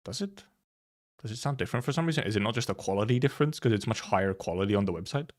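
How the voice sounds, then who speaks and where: A man live streaming in casual chat, rambling and haltingly thinking aloud, with some offhand swearing. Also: on the low side, lively intonation. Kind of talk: casual chat, reacting to viewers.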